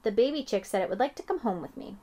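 A woman voicing a spunky, talkative young girl, bouncy and excited. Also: medium pitch, fast, lightly acted.

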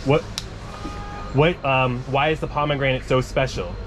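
A man's voice: mid-range voice, fairly even intonation, normal speed. A man in his thirties, a travel vlogger joking to a handheld camera at a market stall. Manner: joking, upbeat, talks to camera. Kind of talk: casual chat. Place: busy indoor market stall. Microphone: handheld camera held close. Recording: noisy market ambience.

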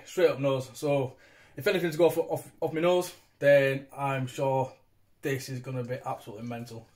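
A man's voice: mid-range voice, darkish tone. A British man in his thirties giving a casual, chatty, informal review to camera, recorded on a phone in a room at home. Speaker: beer reviewer, vlog host. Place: small domestic room indoors. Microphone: phone propped at arm's length.

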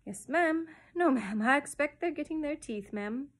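A brisk, stern nanny from a children's storybook, voiced by a woman reader. She speaks curtly and sensibly, with a no-nonsense, matter-of-fact manner. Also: high-pitched, lively intonation, voice pushed up. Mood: deferential. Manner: crisp, curt, matter-of-fact.

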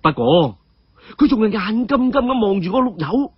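A man's voice: sits high, sing-song, moderate pace, breathy edge, no echo, dull audio. A man narrating a story dramatically.

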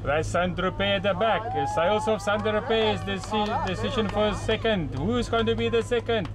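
A man's voice: high voice, normal speed. A man hosting a sailing vlog, casually commenting live on a regatta from the deck of a boat. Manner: excited, commenting on a race as it happens. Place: outdoors on a sailing catamaran at sea. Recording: windy outdoor noise. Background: wind and water noise.

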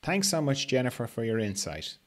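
A man's voice: medium pitch, very animated, a little fast. A man around his sixties hosting a podcast, casually asking questions and thinking aloud in a hesitant way. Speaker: podcast host, interviewer. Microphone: video call with earpiece.

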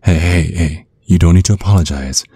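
Man playing a very deep, husky vampire boyfriend. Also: fairly quick, dark tone. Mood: gently reassuring. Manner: soothing, coaxing, affectionate. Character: tender, protective male vampire boyfriend comforting a partner. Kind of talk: ASMR roleplay, intimate soft talk.

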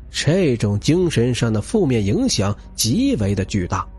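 A man's voice: mid-range voice, a little mellow, slightly rough. A man narrating an audiobook novel in a dramatic, scripted storytelling style, with music behind him. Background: background music.

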